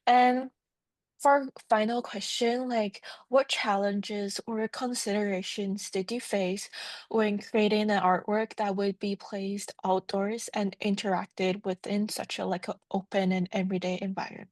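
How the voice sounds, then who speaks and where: A woman's voice: mid-range voice, little pitch movement, measured pace, darkish tone, no echo. A woman interviewing casually, with an informal, tentative delivery peppered with the word "like". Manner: informal, tentative, very frequent "like" as filler.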